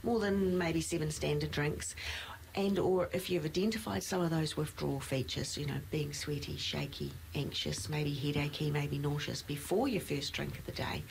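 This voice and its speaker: A woman's voice: deep voice, moderate pace, creaky, a little mellow, a little hiss or hum, poor audio. A middle-aged woman, a nurse and clinical manager, co-hosting a casual podcast and explaining things in an easy, chatty way.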